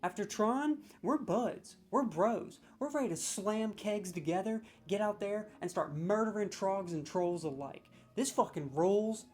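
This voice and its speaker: A man rants as a comedic judge, scoring video game voice lines with profanity, mock-serious verdicts and excited stammering restarts. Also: high voice. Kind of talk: comedic ranking rant.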